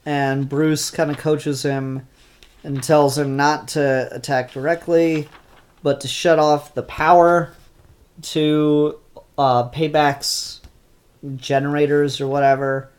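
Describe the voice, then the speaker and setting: A congested, stuffed-up voice drawls along at a slow, easy pace. This comic reviewer talks offhand and unscripted, full of ums and half-started sentences. The pitch sits in an ordinary middle range. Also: level tone, smooth. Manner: unhurried and offhand, many ums and restarts. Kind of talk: casual spoken comic review.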